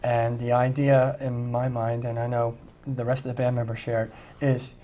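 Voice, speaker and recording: A man's voice: medium pitch, level tone, moderate pace, warm tone, a little hiss or hum. A man who plays in a tribute band, talking earnestly and reflectively in an interview, with children of his own. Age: adult with children. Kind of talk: interview conversation.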